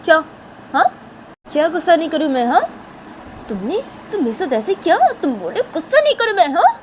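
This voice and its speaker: A woman voicing a furious young woman who shouts threats and repeats herself. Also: extremely high pitch, very animated, fairly quick, a little hiss or hum, pitched above the performer's own voice.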